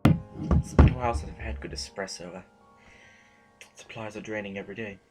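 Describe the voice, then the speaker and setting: An actor in an audio drama playing an earnest, hesitant student and confidant who talks in a tentative, halting way. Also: male voice, lowish voice, fairly even intonation, lightly acted. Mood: uneasy. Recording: music clearly behind the voice.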